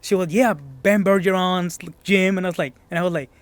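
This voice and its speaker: Modest Mexican-accented man, slightly high voice. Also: a little fast, no room sound. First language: Spanish. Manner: searching for words, modest, restarts phrases. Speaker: gym member being interviewed. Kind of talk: casual interview.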